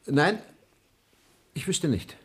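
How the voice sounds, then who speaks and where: An actor playing a nervous, evasive schoolteacher with a husky voice and a hesitant, guarded manner in a German radio crime drama. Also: male voice, a little high, big pitch swings, very slow, full character voice.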